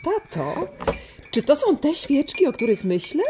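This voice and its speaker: A woman's voice: high-pitched, very animated, unhurried, a crowd in the background. An actress playing an easygoing, lightly amused mother and grown-up daughter in a children's radio play.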